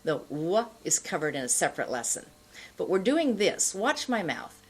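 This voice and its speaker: A middle-aged woman giving an instructional pronunciation lesson to camera, enunciating deliberately and carefully. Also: fairly low pitch, very animated, breathy edge. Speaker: pronunciation teacher.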